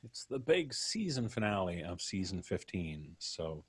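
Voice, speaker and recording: A man's voice: sits low, very animated, unhurried, husky. A man hosting a podcast, chatting casually with colleagues and listeners on a livestream. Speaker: podcast host. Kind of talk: casual after-show livestream chat.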